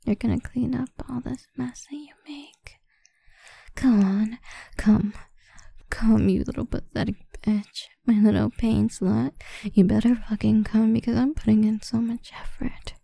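A woman speaking in a breathy whisper in an erotic roleplay audio, domineering, commanding and taunting. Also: on the high side, steady tone, measured pace, dark tone, dry recording.

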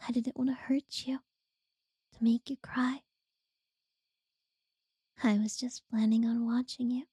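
A woman voicing an obsessive, possessive captor in a whispered audio roleplay, slow and unsettlingly sweet. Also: on the high side, level tone, no room sound. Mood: creepy tender. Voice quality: soft breathy whisper.

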